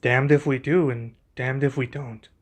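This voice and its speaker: A man playing a young king and protective husband in an ASMR roleplay, speaking in a formal, measured, deliberate way. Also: medium pitch, fairly even intonation, natural voice. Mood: pensive, measured.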